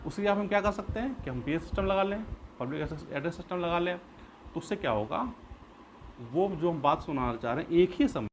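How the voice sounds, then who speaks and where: A man's voice: fairly high pitch, low-quality recording. A man teaching a lesson in an explanatory way, repeating points and answering his own questions. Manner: repeats and rephrases points, poses questions then answers them. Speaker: school teacher. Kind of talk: explanatory classroom-style lesson. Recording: clear background noise.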